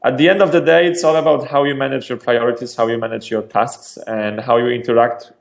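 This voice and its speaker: A man from Poland, a startup founder answering interview questions in a lively, energetic way, often restarting and repeating words. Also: mid-range voice, a little fast, gravelly. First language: Polish.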